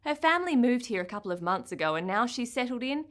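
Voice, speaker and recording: A woman voicing a brisk, encouraging female ballet teacher in a storybook, polite but in charge. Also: fairly high pitch, sing-song, voice pushed up.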